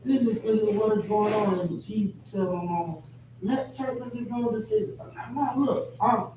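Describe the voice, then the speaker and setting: A man in his forties sharing family troubles in a counseling group, telling a rambling story with pauses and fillers. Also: sits high. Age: 40s. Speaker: group member. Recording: very echoey room from far off, noisy.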